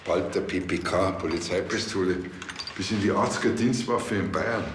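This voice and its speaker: An actor playing a bumbling, know-it-all small-town police officer with a Bavarian accent, earnest and opinionated. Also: male voice, low-pitched, moderate pace, background noise, lightly acted. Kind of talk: TV crime comedy acting.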